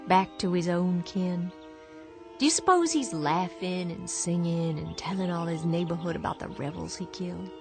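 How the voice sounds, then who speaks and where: Actress as a grieving widow in a radio play, low-pitched, soft and hushed. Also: female voice, moderate pace, music in the background, natural voice. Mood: vengeful. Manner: quiet, grieving, turns hushed and vengeful. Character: lonely, bitter war widow on a ruined farm. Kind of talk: radio drama acting.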